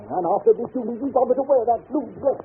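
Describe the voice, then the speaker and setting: An actor playing a domineering, menacing master of a country house, with imperious, mock-polite speech in old radio drama style. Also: male voice, extremely high pitch, fast. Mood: stern, bullying. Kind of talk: 1930s radio acting. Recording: noisy, tinny old radio recording.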